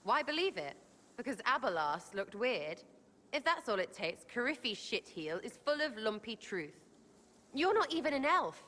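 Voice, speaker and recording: Bright, brash British elf girl. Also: fairly high pitch, sing-song, normal speed, long reverb tail, exaggerated voice. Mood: exasperated. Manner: blunt, mocking, slangy. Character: brash, scornful, cheeky young elf woman. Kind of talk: video game character dialogue.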